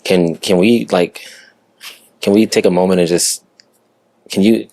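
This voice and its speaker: Podcast host: man, deep voice, informal conversational interviewer, dry close recording. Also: steady tone, unhurried, a little mellow, dry recording. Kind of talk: casual interview.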